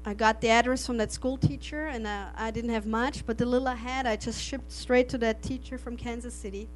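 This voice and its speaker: A woman's voice: fairly high pitch, fairly even intonation, fairly quick, poor audio. A middle-aged woman from Austria, a native German speaker, giving an earnest missionary testimony with frequent laughs and restarted phrases. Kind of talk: testimony to an audience.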